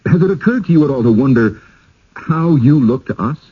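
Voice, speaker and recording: Husky, irritable, stubborn husband. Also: male voice, medium pitch, lively intonation. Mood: testy, firm. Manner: blunt, indignant, halting repetitions. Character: irritable, stubborn, proud husband, a newcomer resisting scrutiny. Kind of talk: radio drama acting. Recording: dull lo-fi old radio broadcast.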